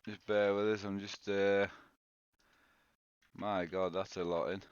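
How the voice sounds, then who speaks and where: A man's voice: sits low, little pitch movement, a little slow, clean tone, no echo, lo-fi sound. A man with a cold, sounding tired, chatting casually to viewers on a live game stream and greeting them by name. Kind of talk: live-stream gameplay chat. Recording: loud background noise.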